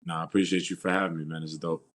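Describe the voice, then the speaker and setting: Very deep, flat-voiced young man. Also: rapid-fire. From New York. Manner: calm, steady storytelling with "uh" and "you know". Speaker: football player, guest. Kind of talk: casual interview answers.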